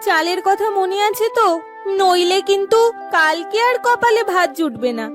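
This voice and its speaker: A woman playing a caring farmer's wife in a village cartoon, homely and gently scolding. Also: very high voice, expressive, moderate pace, music in the background. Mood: teasing. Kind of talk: cartoon story dialogue.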